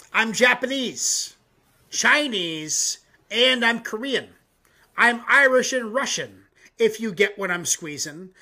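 High, bright-voiced casual male host. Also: expressive, takes it slowly, long pauses. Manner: loose and profane, with frequent fillers; reads his own comic poems aloud. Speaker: host of a music reaction show. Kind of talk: casual live-stream chat.

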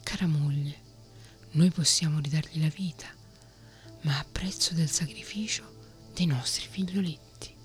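A woman voicing a grieving fairy-tale king in a soft whisper, low in pitch, with faint music behind. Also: unhurried. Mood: sorrowful.

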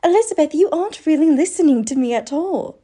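An actress plays a sweet young lady in love for a radio play. Her voice is high and mellow, gushing with lively swings. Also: brisk. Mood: dreamy, gushing. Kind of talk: old-fashioned radio drama acting.

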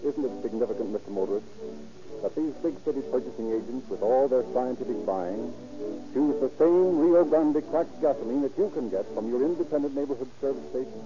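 A man with an American accent announces in an emphatic 1930s radio manner. His voice sits at a middle pitch and is formal and declamatory. The old recording is dull, with music clearly audible. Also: a little fast.

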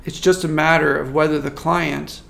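A man working as a marketing consultant, giving a measured, thoughtful interview answer with frequent restarts. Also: a little high, flat delivery, gravelly, fairly bright tone. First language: not French.

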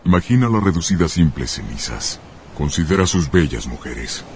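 An imperious god-king from a dubbed epic film, with an exceptionally low voice. He declaims pompously and deliberately, coaxing and threatening, over faint soundtrack noise. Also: big pitch swings, darkish tone. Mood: veiled threat. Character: imperious male god-king, menacing, grandly persuasive. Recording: noisy film soundtrack clip.

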